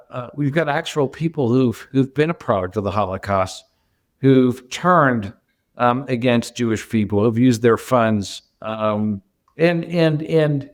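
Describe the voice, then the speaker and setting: A man hosting a podcast, asking long probing questions with hesitations and restarts. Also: medium pitch. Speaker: podcast host. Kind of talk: interviewer questions.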